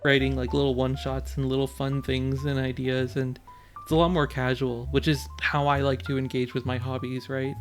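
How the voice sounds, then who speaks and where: A man's voice: medium pitch, level tone, moderate pace, soft background music. A man from the United States hosting a casual podcast chat, laughing often and filling his talk with "like". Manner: laughs often, heavy use of "like". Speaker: podcast host.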